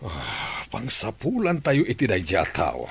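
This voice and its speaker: A man's voice: low voice, wildly swooping pitch, unhurried, gravelly, fairly bright tone, breathy edge, exaggerated voice. A man acting a grave, concerned head of household in an Ilocano radio drama. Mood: worried.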